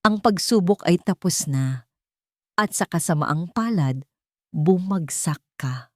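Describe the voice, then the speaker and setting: A proud rich man from an audio romance, voiced by a woman storyteller. He speaks calmly and firmly with a sharp edge. The voice is low for a woman and recorded dry. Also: slow pace, no room sound. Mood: cold, cutting. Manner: calm with a sharp edge, firm. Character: calm, cutting, proud blind rich man.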